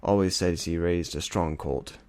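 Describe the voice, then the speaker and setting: A male audiobook reader with a low-pitched voice. He delivers scripted fiction as dramatic narration. The recording is dry, with hardly any room sound. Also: fairly even intonation, a little slow, a little mellow, clean tone, no room sound.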